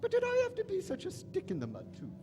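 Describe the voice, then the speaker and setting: Flamboyant alien mad professor, swooping pitch. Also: male voice, huge pitch swings, fast, exaggerated voice. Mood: haughty, exasperated. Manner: theatrical, gushing, disdainful. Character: eccentric, flamboyant alien mad professor, gleefully callous toward humans. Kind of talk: live radio-play stage acting. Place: large hall stage at a convention. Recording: echoey hall stage recording, faint noise.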